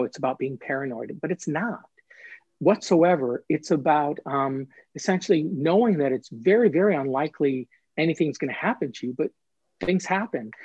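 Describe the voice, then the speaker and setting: A man's voice: fairly high pitch, darkish tone, slightly rough, no echo. Man explaining earnestly, slightly dull dry recording. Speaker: author and interview guest. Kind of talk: explanatory interview talk.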